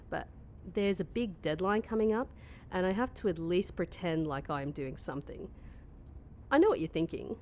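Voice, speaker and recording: A woman playing a weary, sarcastic young office worker in a mockumentary audio comedy, speaking in a deadpan way. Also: fairly low pitch, slight background noise, close to an everyday voice. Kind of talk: mockumentary comedy narration and dialogue.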